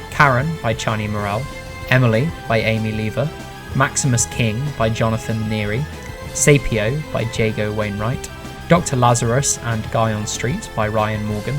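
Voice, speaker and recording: A man, the writer and director, reading scripted closing credits over guitar music. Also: medium pitch. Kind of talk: scripted announcement.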